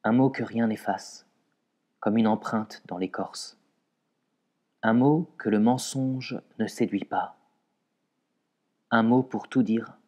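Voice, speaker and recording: A man reciting poetry in a measured way, pausing between short phrases. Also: fairly low pitch, dark tone. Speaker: poetry reader.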